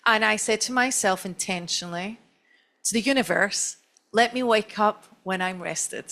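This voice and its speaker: A middle-aged woman with a Scottish accent speaks at a stage panel, chatty and self-deprecating as she tells a long personal story. Her voice sits at a middle pitch. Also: moderate pace, pushed and bright. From Scotland. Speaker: chief marketing officer on a panel.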